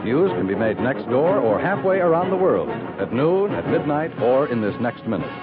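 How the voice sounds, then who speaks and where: A man's voice: medium pitch, sing-song, normal speed. An American man announcing from a script in formal, emphatic 1950s radio style. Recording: tinny 1950s radio recording. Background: music.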